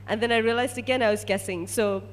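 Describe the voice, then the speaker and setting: A woman's voice: a little high, fairly even intonation, quick pace. A woman from Malaysia, a research scientist, telling a personal story to an audience as a testimonial.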